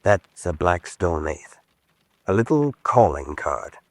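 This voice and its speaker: A man's voice: low voice, big pitch swings, slow, no room sound, full character voice. A desperate spy on the run in a radio drama. He is a hunted American man who speaks with urgent, dramatic intensity, as if begging to be believed. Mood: grave.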